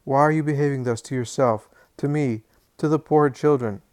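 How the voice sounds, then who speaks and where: A man's voice: mid-range voice, fairly even intonation, moderate pace, no room sound, clean studio sound, voice pushed up, close to an everyday voice. A man voicing a distressed woman who begs her angry husband and her nephew in a tearful, imploring voice. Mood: pleading. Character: tearful pleading middle-aged woman.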